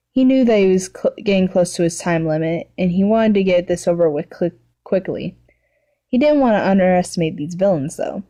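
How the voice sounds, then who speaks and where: A woman's voice: lowish voice, dark tone, dull audio. A woman narrating fan fiction in a casual, amateur reading style, stumbling now and then and correcting herself.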